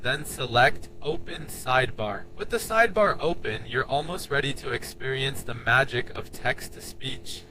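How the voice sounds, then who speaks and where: A man reading a scripted software tutorial in an instructional, clearly structured way. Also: medium pitch, poor audio. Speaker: tutorial narrator. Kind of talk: scripted step-by-step tutorial narration.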